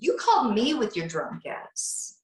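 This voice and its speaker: A woman playing a frightened film star in a slasher table read, halting and pleading, over a video call. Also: a little high, wildly swooping pitch. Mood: wary, irritated. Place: indoor room on a video call. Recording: roomy, echoing video call audio.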